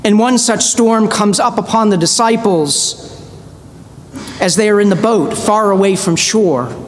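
High-voiced man preaching in a church. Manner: measured, earnest preaching with slow emphatic phrases. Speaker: priest. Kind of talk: sermon. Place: church sanctuary, at a pulpit. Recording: reverberant church with faint rumble.

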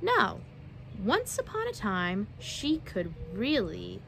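Casting: young woman, children's read-aloud presenter, very lively intonation, questioning delivery, recorded outdoors with slight background noise. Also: medium pitch, slow pace, creaky, low-quality recording. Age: young adult. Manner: expressive, questioning, addressing young listeners. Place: outdoors on a wooden backyard deck.

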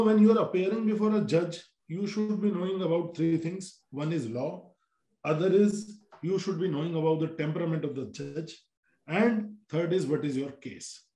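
A man with an Indian accent talks as a panelist on a video call, his voice sitting fairly high for a man. He explains in a measured way, often restarting his phrases. The sound is roomy, picked up by a laptop mic in a small office. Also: mellow.

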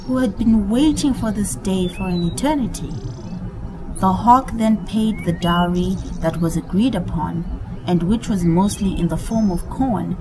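A woman narrating a scripted folktale for children in an expressive, dramatic storytelling manner. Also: medium pitch, rough recording. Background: background music.

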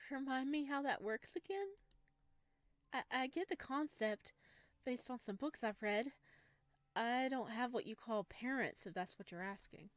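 A woman voicing a gentle, sheepish male forest creature in a visual novel, speaking softly and with naive curiosity. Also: a little high, a little slow, dry recording, close to an everyday voice. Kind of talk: visual novel read-aloud.

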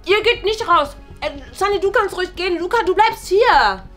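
Sulky, indignant young female roommate, played by an actress, high voice, colloquial halting delivery, web drama. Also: expressive, fairly bright tone, faint music behind. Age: young adult. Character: indignant, sulky young woman roommate Melody, feeling slighted. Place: living room indoors.

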